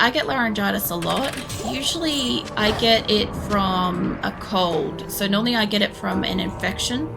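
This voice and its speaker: A young woman with a hoarse, worn voice, chatting casually and rambling while she streams a game at home, swearing now and then. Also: medium pitch. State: hoarse, losing her voice. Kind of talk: casual chat while playing. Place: home room. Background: game music.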